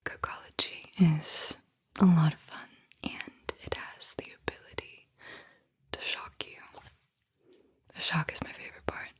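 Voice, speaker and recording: A woman whispering a guided visualization in an ASMR style, with a breathy, airy voice and a soothing, deliberate delivery. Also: deep voice, flat delivery. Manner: slow, soothing, deliberate delivery with repeated phrases. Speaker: host, guided visualization narrator.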